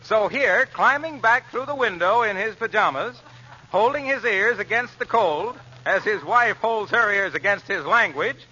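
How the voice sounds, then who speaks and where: Very bright, high-voiced male radio announcer. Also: expressive, moderate pace, slightly rough. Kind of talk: 1940s scripted show introduction. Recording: dull vintage radio recording.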